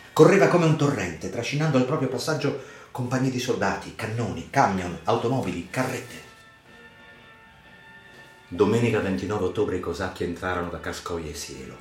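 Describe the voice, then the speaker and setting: Deep-voiced man narrating theatrically. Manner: theatrical, emphatic, measured dramatic delivery. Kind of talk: dramatic scripted literary narration for radio theatre. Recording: roomy echo with music underneath. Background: music.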